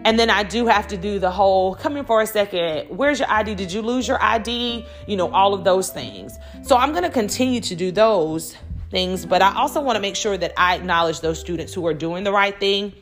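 A middle-aged woman hosting a solo podcast, talking conversationally and telling stories in a chatty, animated way with quoted asides. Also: mid-range voice, brisk, soft background music. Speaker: podcast host.

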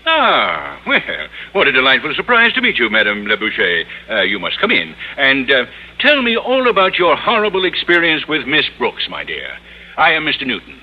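Flustered pompous board official, sputtering, swooping husky voice, muffled vintage radio. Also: male voice, medium pitch, wildly swooping pitch, normal speed. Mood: oily, welcoming. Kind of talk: 1950s radio comedy acting. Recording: muffled old radio recording.

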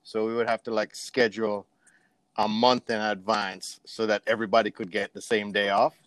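A man's voice: on the low side, flat delivery, normal speed, a bit bright, no room sound. A man from Jamaica chatting casually as a podcast guest, telling personal anecdotes in an easygoing way.

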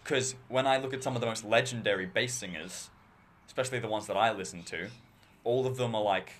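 A teenage boy with a low bass voice, chatting casually as podcast co-host, rambling and talking in bursts. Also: fairly quick, fairly bright tone, a little hiss or hum. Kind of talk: casual chat.

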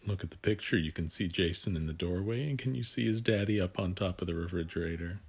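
A man reading a picture book aloud to young children, with gentle asides that guide the listener. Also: sits low, quick pace, slightly rough.

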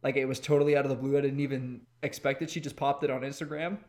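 A man's voice: mid-range voice, flat delivery, fast, a little mellow, a little hiss or hum. A man co-hosting a podcast, chatting casually, teasing and laughing often. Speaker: podcast co-host. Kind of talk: casual chat.

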